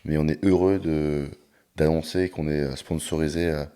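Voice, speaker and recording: A man with a very deep, low voice hosts a casual podcast conversation. He talks in a relaxed way, pausing often with hesitations. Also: clear, resonant tone. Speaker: podcast host and coach.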